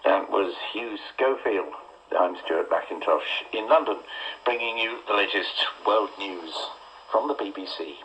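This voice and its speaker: A man's voice: fairly low pitch, unhurried. A man reading a scripted news bulletin as a radio newsreader. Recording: muffled noisy shortwave radio broadcast.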